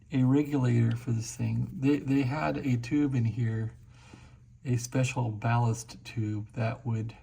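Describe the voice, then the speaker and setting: Man at a workbench, gravelly voice, relaxed chatty walkthrough of a restored radio, mid pitch. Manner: chatty, explains as he works. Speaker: equipment restorer demonstrating a receiver. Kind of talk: casual hands-on demo.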